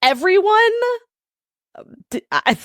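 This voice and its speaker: A middle-aged woman hosting a podcast in casual chat, her voice husky and a little hoarse from yelling. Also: very high-pitched, big pitch swings, slow, dry recording, studio quality. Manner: chatty, laughing, reads synopsis aloud. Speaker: podcast host.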